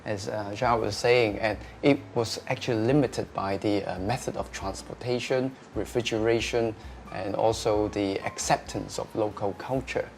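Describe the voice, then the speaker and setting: A young man, answering interview questions as a restaurateur, pausing often with filler sounds. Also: on the low side, steady tone, vocal fry, a little hiss or hum. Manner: hesitant, many filler sounds.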